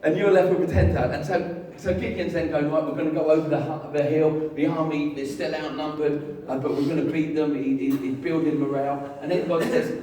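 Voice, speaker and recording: A man preaching a lively, jokey sermon, telling a story with voices and getting the congregation to join in. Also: fairly high pitch, a little fast, few pauses, a little hiss or hum. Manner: jokey, animated storytelling with audience participation. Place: large hall with an audience. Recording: echoey hall, far from mic, crowd.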